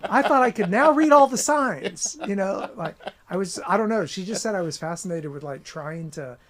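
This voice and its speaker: Animated man, brisk and lively. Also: sits high. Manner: animated storyteller, many restarts and "you know". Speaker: author and editor, guest. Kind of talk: casual conversational interview.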